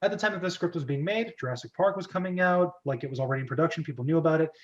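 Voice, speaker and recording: A man's voice: on the high side, rapid-fire, muffled recording. A man hosting and narrating an amateur script reading in a playful, chatty, self-interrupting way, with casual commentary.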